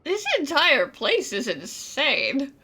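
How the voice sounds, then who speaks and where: A woman's voice: high voice, sing-song, slow pace, background noise, full character voice. A woman playing a blunt, indignant young woman in a video game, talking casually with a sarcastic edge. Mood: appalled. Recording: faint music behind the voice.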